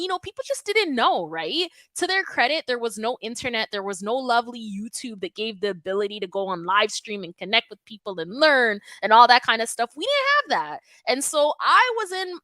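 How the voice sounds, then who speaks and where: A woman from Toronto hosting a live stream, answering viewer questions in an emphatic, animated, conversational way. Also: on the high side, no echo. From Toronto, Canada. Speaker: natural hair YouTube host.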